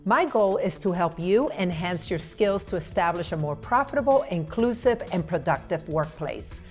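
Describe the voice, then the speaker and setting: A woman's voice: fairly low pitch, a little mellow. A woman hosting an interview, asking questions with enthusiastic, effusive reactions over a video call. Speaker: host, leadership strategist. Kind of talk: interview host.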